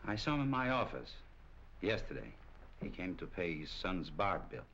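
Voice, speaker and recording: Cocky, lively low-voiced nightclub suspect. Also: male voice, normal speed, full character voice. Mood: evasive. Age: middle-aged. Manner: cocky, slangy, evasive. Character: cocky, slippery middle-aged nightclub operator under questioning. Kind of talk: 1940s crime film acting. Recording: muffled, noisy old film soundtrack.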